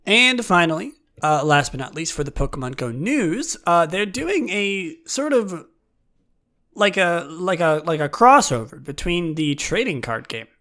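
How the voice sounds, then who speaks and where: A man hosting a fan podcast with casual news commentary, talking in a halting, rambling way with repeated words. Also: a little high, big pitch swings, pushed and bright. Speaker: podcast host.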